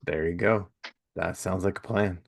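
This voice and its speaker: A man with a fairly low voice hosts a casual podcast. He speaks in a folksy, courteous way, as if chatting with a guest and listeners. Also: steady tone, moderate pace, creaky. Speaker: podcast host. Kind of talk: casual podcast interview and plugs.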